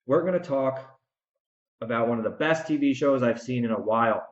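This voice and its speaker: A man's voice: medium pitch, moderate pace. An American man hosting a solo podcast, ranting casually and emphatically, speaking straight to the listener with strong opinions. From United States. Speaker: podcast host and author. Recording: dull, echoey room recording.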